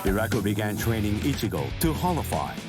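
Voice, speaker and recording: A man narrating an anime game recap in a serious, measured, scripted dramatic style. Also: fairly low pitch, very animated. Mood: solemn. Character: solemn male storyteller recapping an anime saga. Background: loud music.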